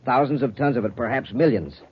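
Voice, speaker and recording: Casting: emphatic American professor male actor, raspy voice, rapid delivery, vintage space serial in dull radio sound. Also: mid-range voice, natural voice. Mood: earnest, explanatory. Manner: emphatic, explanatory. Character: earnest, excitable scientist professor, adult man. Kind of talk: 1950s radio serial acting. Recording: dull 1950s radio recording.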